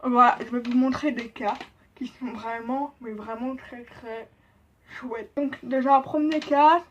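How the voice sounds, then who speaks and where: A young deaf woman with a deaf-speech accent, explaining things in a casual vlog, halting with many pauses and fillers. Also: on the high side, fairly even intonation, a little hiss or hum. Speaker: vlogger. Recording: dull lo-fi sound.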